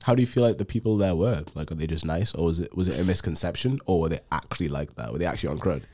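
A young man, a guest on a podcast, talking in a relaxed, casual way. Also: low-pitched, rapid-fire. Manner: relaxed, says "yeah" and "bruh" a lot. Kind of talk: casual chat.

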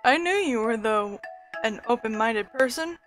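A woman reading a timid, apologetic man's lines from a farming video game in a soft, gentle voice. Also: a little high, lively intonation, unhurried. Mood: sheepish. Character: timid, apologetic man living outdoors. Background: game music.

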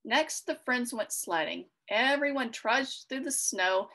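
A middle-aged woman reading a children's storybook aloud, expressive and clearly worded for young listeners. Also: on the high side, slow pace. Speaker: read-aloud host for children. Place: small furnished room at home, indoors.

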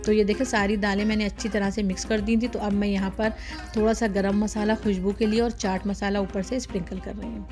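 A woman's voice: mid-range voice, little pitch movement, a little fast, darkish tone, faint music behind. Chatty woman narrating a cooking demo. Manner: chatty, explains each step, many fillers. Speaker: cooking channel host. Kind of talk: casual cooking demonstration voice-over.

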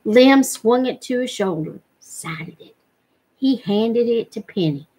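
A middle-aged woman reads fiction aloud with a fairly high voice. Her pace is slow and measured, and she gives the narration expression. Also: lively intonation, warm tone, low-quality recording. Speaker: reader. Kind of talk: read-aloud storytelling from a novel. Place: living room at home. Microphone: webcam at desk distance.

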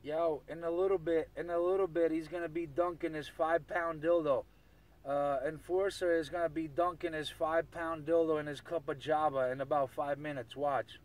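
A man's voice: on the high side, steady tone, normal speed. Mocking man on a noisy CB radio. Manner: mocking, needling. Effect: CB radio transmission. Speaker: amateur CB radio operator. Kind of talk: casual taunting banter over CB radio.

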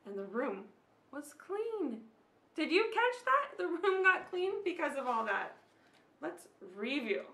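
A woman's voice: high voice, big pitch swings, slow pace, bright, forceful tone. A woman in her thirties reading a picture book aloud to children, expressively, with little questions and asides to them. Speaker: children's storyteller. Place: small room at home, indoors. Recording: slightly echoey room.

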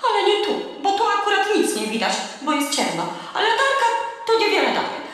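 A woman's voice: extremely high pitch, sing-song, a little fast, a bit bright, heavy reverb, big-room sound, full character voice. A woman playing a bossy, chatty, boastful seagull guide in a children's audio drama. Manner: brash, chattering, exclamatory.

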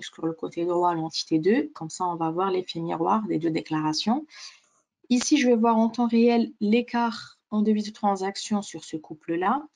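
A woman giving a webinar software demonstration, explaining step by step as the presenter. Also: mid-range voice, gravelly, dry recording.

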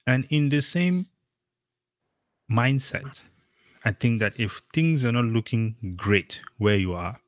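A man's voice: medium pitch, animated, takes it slowly, pauses often, no echo, clean studio sound. A middle-aged man from Cameroon hosting an interview over a video call, talking casually and emphatically with many fillers. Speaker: podcast host.

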